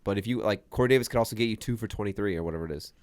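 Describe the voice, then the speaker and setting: A young man talks fantasy football in a loose, emphatic podcast chat, hammering the same point over and over. His voice sits low and smooth despite a stuffy, congested nose, recorded close and dry. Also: racing, a little mellow, dry recording. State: congested from a sinus infection.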